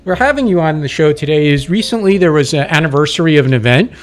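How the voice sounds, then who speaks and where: A man's voice: fairly high pitch, lively intonation, fast talker. A man hosting a radio interview, chatting casually and backing his guest with frequent short "mm-hmm"s. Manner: friendly, lots of short backchannel "mm-hmm"s. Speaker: host. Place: radio studio.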